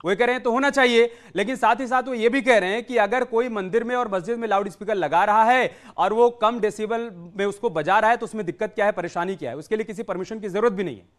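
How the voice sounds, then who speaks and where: A man with a high-pitched voice moderates a heated television debate. He pushes guests to answer, interjects firmly, and sums up their positions. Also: fast talker, hardly any pauses, fairly bright tone. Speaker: news anchor moderating a debate.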